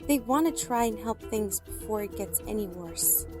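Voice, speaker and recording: A woman's voice: medium pitch, lively intonation, moderate pace, over music, lightly acted. A woman acting an eager, idealistic, nerdy young woman in a fan audio drama, earnest and playful. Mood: earnest, resolute.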